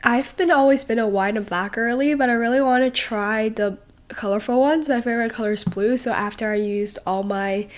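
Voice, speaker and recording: A young woman hosting a podcast in a rambling, casual way, full of fillers and pauses, while sick with a cold. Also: a little high. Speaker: podcast host. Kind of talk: casual solo podcast talk.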